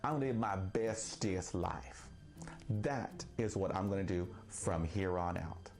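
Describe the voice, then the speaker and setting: A man who stutters, speaking slowly and deliberately with long pauses in a personal monologue. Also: sits low, darkish tone. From Texas. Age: 40s. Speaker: vlogger. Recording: faint guitar music behind.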